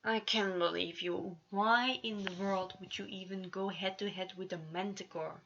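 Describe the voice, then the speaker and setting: Storybook grump played by a woman: sardonic, guarded, muttering male sidekick, with a sharp bright tone. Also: medium pitch, fairly even intonation, normal speed, muffled recording, slight background noise, lightly acted. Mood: scolding. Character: scowling, sardonic, guarded male companion of a prince.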